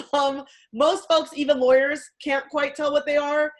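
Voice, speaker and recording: A woman from California talks on a video call in a lively, quick manner. Her voice is fairly high. She tosses in quick asides as she goes. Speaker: law professor. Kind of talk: casual talk on a video call.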